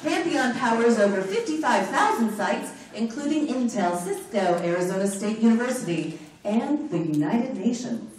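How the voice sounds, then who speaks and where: A woman announcing sponsors at a conference, loud and playful, joking between the scripted parts. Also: fairly high pitch, normal speed, distant mic. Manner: loud, animated, joking asides. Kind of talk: playful live announcements to an audience. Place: large conference room with an audience. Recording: echoey hall recorded from afar, crowd noise.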